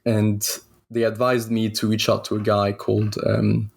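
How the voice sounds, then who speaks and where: Young swiss guy, lowish gravelly voice, telling his story slow and hesitant. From Switzerland. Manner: relaxed, hesitant, many ums and restarts. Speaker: guest concept artist. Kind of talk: casual interview storytelling.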